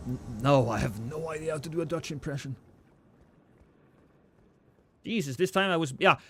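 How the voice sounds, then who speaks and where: A man's voice: a little high, lively intonation, quick pace, slight background noise. A man, chatting casually and joking with his chat while streaming a game. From Norway.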